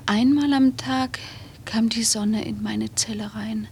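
A woman's voice: a little high, fairly even intonation, slow pace, darkish tone. An actress playing a troubled, defiant woman in a German radio drama, speaking with brooding intensity. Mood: subdued, confessional. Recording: noisy cassette tape of radio drama.

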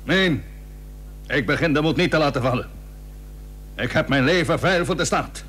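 A man's voice: on the high side, a bit bright, natural voice. Man playing proud old admiral, noisy recording. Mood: proud, grave. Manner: formal, measured, firm. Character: Dutch admiral, old, plain-spoken, proud and dutiful. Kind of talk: historical radio drama acting.

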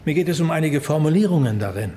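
Actor as a higher-voiced, stern police superior. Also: male voice, animated, fast talker, mellow, slight background noise, lightly acted. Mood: stern, controlled. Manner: formal, correct, firm. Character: stern, principled senior police detective, male superior. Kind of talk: radio play dialogue.

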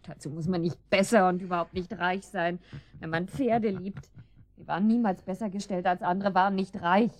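A woman's voice: on the low side, fairly even intonation, moderate pace, no room sound, lightly acted. An actress playing a defiant but anxious captive married woman in a radio drama, proud, brittle and indignant. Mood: wistful, defensive. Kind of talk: German radio drama acting.

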